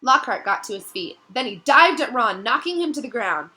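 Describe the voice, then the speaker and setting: A woman narrating a novel aloud in an expressive, dramatic storytelling style that builds suspense. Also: a little high, normal speed.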